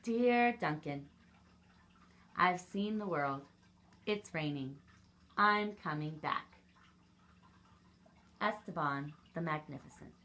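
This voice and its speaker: A woman's voice: low-pitched, expressive, slow pace, pauses often, fairly bright tone, pitched below the performer's own voice, full character voice. A woman voicing a grandiose little crayon who longs for adventure, in a children's storybook reading. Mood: resigned.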